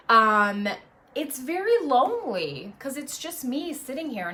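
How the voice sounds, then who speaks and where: A woman presenting traffic and news live from her apartment dining room on a phone, chatty off air and brisk on air, a little sniffly. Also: medium pitch, fairly bright tone. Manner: chatty and self-deprecating off air, brisk and upbeat on air. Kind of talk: casual live stream chat and on-air traffic report.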